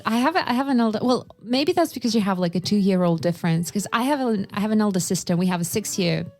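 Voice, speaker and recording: A woman's voice: medium pitch, lively intonation, quick pace, a little mellow, soft background music. A woman from Russia co-hosting a casual chat, asking questions and reacting. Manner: curious, chatty, reacting with questions. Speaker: co-host.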